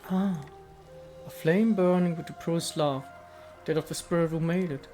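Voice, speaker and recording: Male reader as ancient statue goddess: serene, aloof, formal and poetic game dialogue, raised pitch, music underneath. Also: steady tone, normal speed, warm tone, lightly acted. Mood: gracious, wistful. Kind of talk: dramatic reading of video game dialogue.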